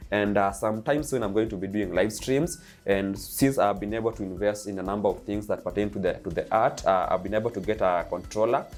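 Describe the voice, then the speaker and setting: A young Kenyan man speaks in a low voice with a Kenyan accent. He talks eagerly and loosely, piling up repeated lists and filling his pauses with "uh". Faint music plays quietly beneath him. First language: Kikuyu. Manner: eager, repetitive lists, frequent uh fillers. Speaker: DJ and channel host. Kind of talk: casual vlog monologue.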